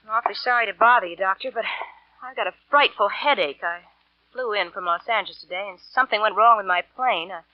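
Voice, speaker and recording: An actress playing a scheming, impatient wealthy wife in a clipped 1940s radio drama style. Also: female voice, mid-range voice, fast, no echo, natural voice. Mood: feigned frailty. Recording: dull vintage radio recording.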